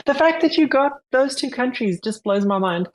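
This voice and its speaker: A woman's voice: high-pitched, expressive, normal speed. A woman from Zimbabwe and South Africa with a southern African accent, chatting as a guest in a friendly, good-humoured way. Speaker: podcast guest. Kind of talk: casual chat.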